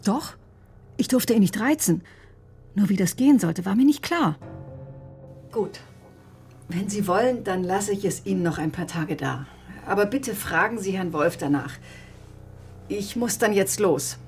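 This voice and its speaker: An actress playing a bitter, sharp-tongued woman doctor in a radio drama, with a sardonic, controlled, defensive manner. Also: mid-range voice, expressive, unhurried, long pauses, faint music behind. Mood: wary, polite. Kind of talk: radio drama acting, confessional narration and dialogue.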